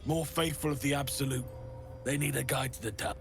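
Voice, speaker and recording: A man's voice: mid-range voice, fairly even intonation, a little fast, creaky, over music, close to an everyday voice. A man playing a brusque cult soldier in a fantasy game, curt and commanding.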